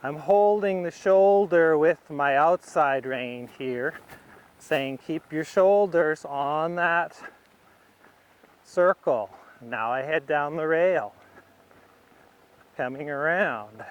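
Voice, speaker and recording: A man with a smooth, slightly high voice talks through a riding demonstration as an instructor. He takes his time, laying out each step in short phrases as if thinking aloud, at a markedly slow pace.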